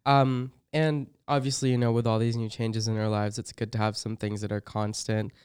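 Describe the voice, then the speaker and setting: A young man, a first-year student, hosting a casual interview in a friendly, relaxed way with some ums. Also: fairly low pitch, moderate pace, smooth, no room sound, clean studio sound. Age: young adult.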